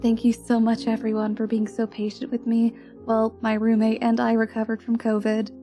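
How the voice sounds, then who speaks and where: A woman's voice: a little high, completely flat delivery, normal speed, warm tone, soft background music. A woman channel host chatting casually and earnestly to her listeners, with an appreciative manner.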